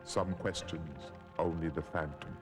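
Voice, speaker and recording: A man playing a stern, mysterious older mentor in an animated series, speaking formally and solemnly. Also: a bass voice, unhurried. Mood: solemn, instructing. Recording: music behind the dialogue.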